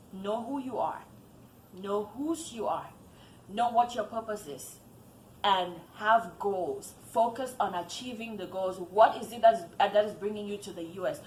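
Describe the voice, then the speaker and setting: Woman from cameroon giving advice to camera, repeats herself for emphasis, echoey room with a little hum. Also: mid-range voice. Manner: earnest, repeats phrases for emphasis. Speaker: vlogger. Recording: echoey room with faint ambience.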